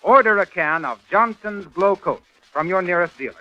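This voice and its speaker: A man's voice: high voice, expressive, normal speed, a little hiss or hum. A man announcing in scripted 1930s radio advertising style, with a polished, upbeat salesman delivery. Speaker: radio announcer and sponsor pitchman. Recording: dull, band-limited 1930s radio recording.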